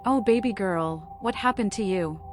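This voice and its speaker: A woman's voice: mid-range voice, moderate pace, natural voice. A woman voicing a moody young female vampire in a video game, musing theatrically to herself. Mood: worried. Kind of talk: game character monologue. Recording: music under the voice. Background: game music.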